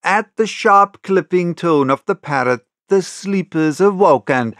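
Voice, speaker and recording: A man reading literary first-person narration as an audiobook narrator, in a measured storytelling manner. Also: high voice, expressive, fairly bright tone, no echo, studio quality.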